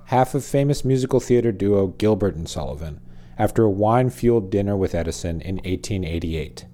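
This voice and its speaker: Wry, mellow-voiced male narrator. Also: on the low side, animated, fairly quick, slight background noise. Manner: wry, dry asides. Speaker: podcast host and narrator. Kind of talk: scripted narration.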